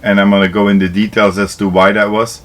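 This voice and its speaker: A man's voice: on the low side, flat delivery, fast, fairly bright tone. A man from Belgium in his forties, vlogging casually to a handheld camera in an upbeat, chatty way with fillers and restarts. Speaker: travel vlogger. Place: home kitchen and inside a camper van. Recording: music clearly under voice. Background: background music.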